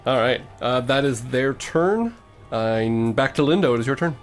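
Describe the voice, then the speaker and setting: A man's voice: mid-range voice, animated, moderate pace. A man acting as game master, narrating combat in a tabletop game in a matter-of-fact, slightly hesitant way. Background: music.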